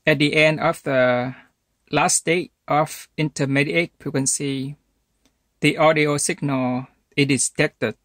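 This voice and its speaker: A man narrating an instructional electronics demonstration, speaking haltingly in short phrases with pauses between them. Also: medium pitch, a bit bright, no room sound. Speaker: electronics hobbyist presenter.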